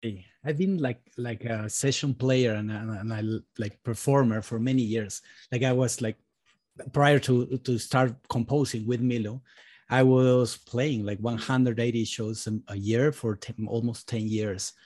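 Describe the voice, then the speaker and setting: A man speaks on a panel as a session musician turned composer. His voice is mid-pitched, and he halts often, stringing his thoughts together with a constant "like". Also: fairly even intonation, a little mellow. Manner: halting, heavy use of "like". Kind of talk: casual panel talk.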